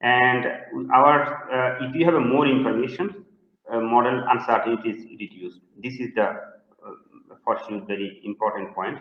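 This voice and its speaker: Man from Bangladesh, halting with fillers, roomy echo, dull lo-fi webinar audio. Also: medium pitch. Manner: hesitant, many fillers and restarts. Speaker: presenting researcher answering questions. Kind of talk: webinar Q&A answers. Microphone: video call. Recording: echoey, dull lo-fi call audio.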